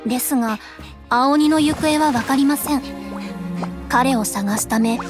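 A woman playing a storyteller who narrates a gentle folk tale in a video game. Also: high voice, unhurried, music in the background. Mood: gentle storytelling. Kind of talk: scripted folktale narration in a game.

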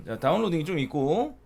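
A man with a hoarse, cracking, sore-throated voice giving casual commentary as the host of a gaming video. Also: on the high side, wildly swooping pitch, measured pace, a crowd in the background. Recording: loud music behind the voice. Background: loud music.

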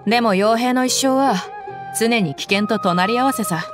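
Game mercenary, young woman, blunt and easygoing, rough casual delivery, actress. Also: on the high side, normal speed, over music, lightly acted. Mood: tough. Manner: rough, casual, relaxed speech.

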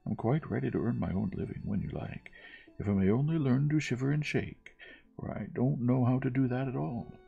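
A storybook lad, acted by a male reader, simple-minded and fearless. His pitch is lifted well up, and he speaks earnestly, full of wide-eyed innocence. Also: a little fast, gravelly, breathy edge, phone-quality sound. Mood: eager.

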